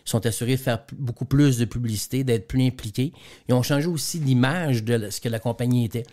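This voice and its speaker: A man's voice: medium pitch, quick pace, warm tone. A man from Quebec with a Quebec French accent, a guest trainer and speaker explaining business examples in a radio interview, often repeating words as he goes.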